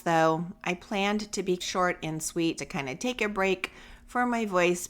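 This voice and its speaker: A woman's voice: medium pitch, a bit bright. Reassuring woman podcaster getting over a cold. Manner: reassuring, conversational, emphatic. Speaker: podcast host for homeschool parents. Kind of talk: encouraging solo podcast talk, addressing listeners directly.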